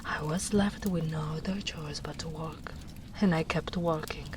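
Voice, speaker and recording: A woman as a slow, gentle, soft-spoken big ogress. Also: low voice, steady tone, noisy background. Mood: somber. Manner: slow, soft, often whispering, tender. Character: gentle big female ogre, wistful and protective. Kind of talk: soft-spoken ASMR roleplay.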